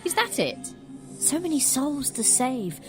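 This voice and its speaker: A woman playing a taunting, secretly protective little brother in an audio drama, speaking deliberately. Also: high voice, sing-song, exaggerated voice. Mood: needling. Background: music.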